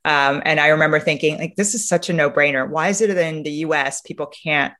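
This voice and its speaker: A woman's voice: low voice, fairly bright tone. Reflective woman from Romania in conversation. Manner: reflective, halting, often rephrasing mid-sentence. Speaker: interview guest, sustainability leader. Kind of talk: conversational interview answers, telling travel anecdotes.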